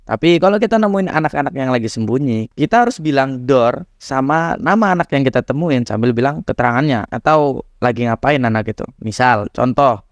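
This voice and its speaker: A young man, hoarse and nasal from a cold, chatting casually to viewers as he tells a horror story. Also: mid-range voice, lively intonation, brisk, no echo. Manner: chatty, addresses viewers as guys. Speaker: horror storyteller, channel host.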